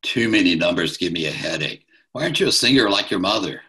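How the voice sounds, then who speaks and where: A man playing a gruff old dad. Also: low voice, animated, fast talker, sharp tone, exaggerated voice. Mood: dry. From New York. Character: blunt elderly father, gruff and teasing.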